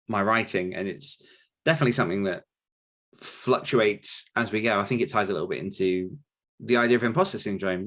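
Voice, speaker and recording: A man's voice: on the low side, a bit bright. A man, talking casually and thoughtfully as an author and podcast host answering questions.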